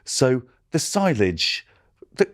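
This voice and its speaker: A man's voice: mid-range voice, very animated, slow pace. A man co-hosting a podcast, chatting casually and cracking jokes. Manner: playful and jokey. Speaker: podcast co-host. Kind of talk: casual chat.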